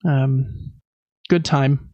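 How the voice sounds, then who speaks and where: A man's voice: medium pitch, fairly even intonation, very slow, mellow, dry recording, lo-fi sound. A man in his thirties chatting casually as a podcast host, rambling along in a self-deprecating way. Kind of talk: casual chat.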